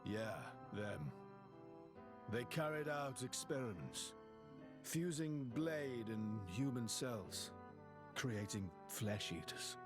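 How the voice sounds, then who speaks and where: A man's voice: medium pitch, animated, takes it slowly, music in the background. A man playing an affable, easygoing adventurer in a video game cutscene. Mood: explaining.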